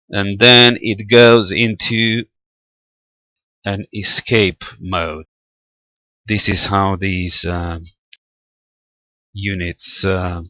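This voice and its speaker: A man with a low, bright-edged voice talks through a technical demonstration. He explains methodically and haltingly, feeling his way through each step without a script. The sound is close and dry. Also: no echo. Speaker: amateur radio hobbyist presenting equipment. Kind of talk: unscripted screen-recorded technical walkthrough.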